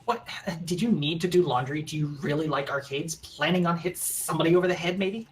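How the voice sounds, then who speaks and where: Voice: mid-range voice, a little fast. A young adult friend teasing the host sarcastically during a game session. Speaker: friend playing along. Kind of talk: casual banter and reading game dialogue.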